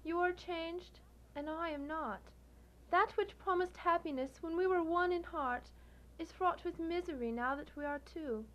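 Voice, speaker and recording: Young female former sweetheart, played by an actress, very high pitch, mournful, measured radio-drama delivery. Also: a bit bright, dull audio. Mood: sorrowful resolve. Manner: formal, earnest, measured. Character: sorrowful, dignified young woman, former sweetheart. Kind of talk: live student radio drama acting.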